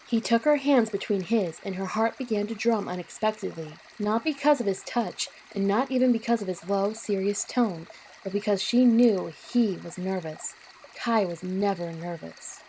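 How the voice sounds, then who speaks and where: A woman narrates an audio drama from a script in a warm, mellow, relaxed tone. Her pitch sits in the middle range, and there is noticeable watery noise running behind her. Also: normal speed, rough recording. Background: trickling water ambience.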